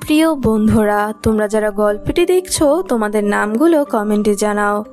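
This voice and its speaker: A woman narrator reading a scripted animated story. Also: mid-range voice, normal speed, soft background music.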